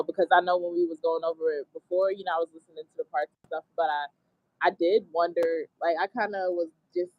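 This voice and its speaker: A man's voice: fairly high pitch, no room sound. A man acting in a rehearsal, chatting informally about his role with lots of "like" and "you know". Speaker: actor in rehearsal. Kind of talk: casual chat about a role. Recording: dull, dry remote call audio.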